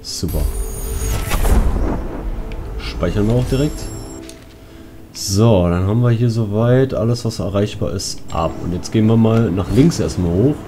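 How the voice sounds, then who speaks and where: Low-pitched man doing casual game commentary. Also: measured pace, long pauses. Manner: colloquial, talking to himself and to viewers. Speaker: let's player. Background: game music.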